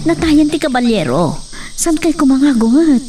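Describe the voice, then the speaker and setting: A woman's voice: sits high, sing-song, moderate pace, far from the mic, loud music behind the voice. A woman acting in a radio drama as a devoted, emotional young farm girl who is in love. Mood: pleading, worried. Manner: emotional, pleading. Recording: off-mic, noisy track with cricket-like chirping.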